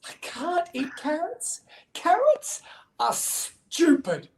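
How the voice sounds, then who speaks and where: A woman's voice: high-pitched, very animated, takes it slowly, a little mellow, reverberant, pitched above the performer's own voice, exaggerated voice. A woman voicing a stubborn little girl bunny from a storybook, whiny and pleading, with melodramatic stretched-out words. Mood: desperate pleading.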